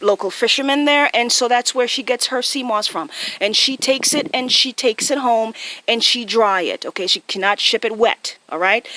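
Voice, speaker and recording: A woman's voice: fairly high pitch, pushed and bright, no room sound. A woman with a Jamaican accent giving a casual, impromptu explainer to viewers, often checking in with short tag questions, recorded on a phone. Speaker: online video host.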